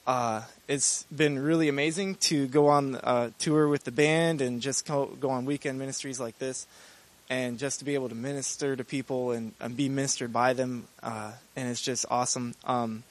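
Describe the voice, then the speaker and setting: Young guy from michigan telling his testimony, earnest, kinda halting, lots of ums. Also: medium pitch, fairly even intonation. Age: 20s. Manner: earnest, halting with many fillers. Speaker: Bible school student. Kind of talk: personal testimony.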